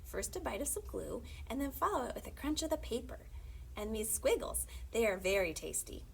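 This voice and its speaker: Woman voicing a bossy young girl mouse, very animated. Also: on the high side, a little fast, creaky, a little hiss or hum. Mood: bossy, eager. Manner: emphatic, instructing. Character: bossy young female mouse, brisk and exasperated big sister. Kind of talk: children's storybook character voice.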